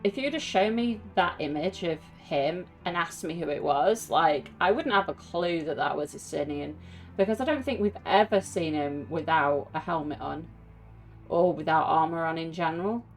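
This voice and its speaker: A woman's voice: low-pitched, normal speed, faint music behind. A woman giving casual, reflective and sympathetic commentary while watching a video game. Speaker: streamer reacting to a video game.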